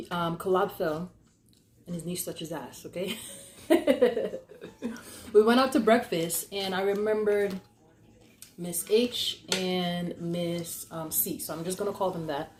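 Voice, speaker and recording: A woman's voice: lowish voice, a little mellow. Young woman storytelling in an echoey room. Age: young adult. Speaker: podcast host telling stories. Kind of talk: casual conversational storytelling. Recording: echoey, roomy sound.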